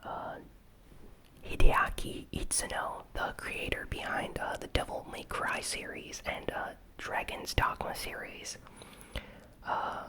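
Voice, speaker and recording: A host whispering an ASMR news recap in a breathy, unvoiced voice, with casual, informal commentary and unhurried, hesitant phrasing. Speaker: host of a gaming news channel.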